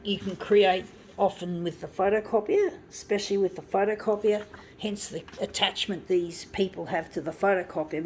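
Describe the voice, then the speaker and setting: A middle-aged woman talks in a casual, meandering way, full of ums and restarts. Her voice sits on the low side. A faint hiss of noise runs under the recording. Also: a little mellow, low-quality recording. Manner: rambling, many fillers and restarts. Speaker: zine and mixtape maker. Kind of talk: casual reminiscing while working.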